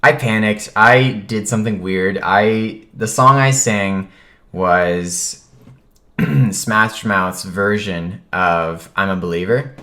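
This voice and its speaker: Deep-voiced man telling a casual story. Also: slow pace. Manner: animated retelling, acts out quoted remarks. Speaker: vlogger telling a personal story.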